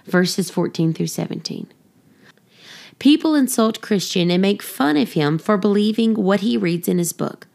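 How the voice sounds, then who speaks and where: A woman's voice: mid-range voice, vocal fry, a little mellow. A woman reading scripted children's story narration and explanation, in a teaching manner. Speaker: storybook reader and podcast host.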